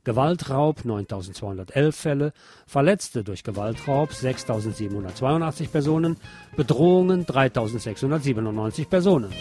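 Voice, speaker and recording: A man's voice: mid-range voice, a little mellow. A man hosting a radio programme, reading scripted local news and adding his own commentary.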